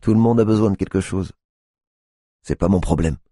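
A man's voice: deep voice, moderate pace, warm tone, no room sound, studio quality, pitched above the performer's own voice. A man voicing an agitated, drug-addicted female sex worker who mocks and swears at a detective. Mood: hostile. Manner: mocking, aggressive, swearing.

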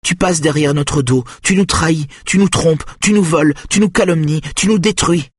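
A stern, cruel matriarch in an audiobook, acted out by a man. The voice is raised in pitch and very husky, commanding and accusing, worn down yet fiercely set on obedience. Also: expressive, normal speed, no room sound, studio quality. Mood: furious, accusing. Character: cruel, domineering, exhausted matriarch obsessed with family obedience. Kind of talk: audiobook character acting.

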